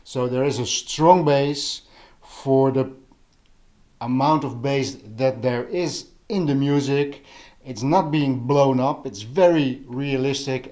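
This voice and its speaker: Guy w non-native english accent, casual hobby vlog chat, slow, kinda halting, stops mid thought. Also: mid-range voice, a little mellow. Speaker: audio hobbyist vlogger.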